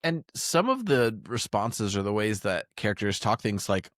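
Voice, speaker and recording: A man's voice: on the low side, sing-song, normal speed, a bit bright, no room sound, studio quality. A man hosting a podcast, talking in a casual, conversational way. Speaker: podcast host.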